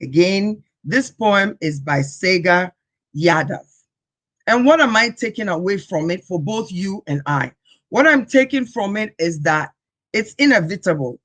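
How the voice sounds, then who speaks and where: A woman hosting a talk show, speaking in a measured way, reciting slowly with emphatic pauses and then interviewing her guest. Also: mid-range voice, lively intonation, a bit bright. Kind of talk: interview with a recited poem opening.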